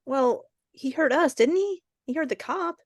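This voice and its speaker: A woman's voice: high-pitched, a little slow, no echo, clean studio sound. A woman playing a reluctant, skittish young boy in an old-time radio suspense play. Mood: defiant, fed up.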